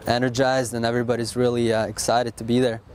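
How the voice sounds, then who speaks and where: Flat-voiced young army officer. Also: male voice, mid-range voice, quick pace. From born in Russia, raised in Texas. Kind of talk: casual interview answers.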